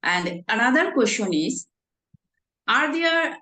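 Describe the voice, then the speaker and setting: A woman, asking questions in a casual practice chat, halting and searching for words. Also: on the high side, big pitch swings, sharp tone. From India. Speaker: interviewer. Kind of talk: casual English practice conversation. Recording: echoey room.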